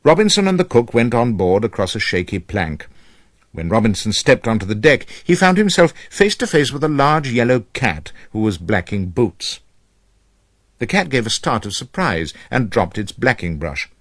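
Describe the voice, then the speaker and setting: A man narrating a children's story from a script as a storyteller, reading aloud in an expressive, measured manner. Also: medium pitch, no room sound, studio quality.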